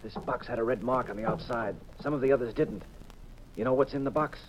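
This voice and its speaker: An actor playing a cool, shrewd private detective, brisk and assured, in a hard-boiled 1950s radio drama style. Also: male voice, mid-range voice, natural voice. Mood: excited, deducing. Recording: muffled, hissy 1950s radio recording.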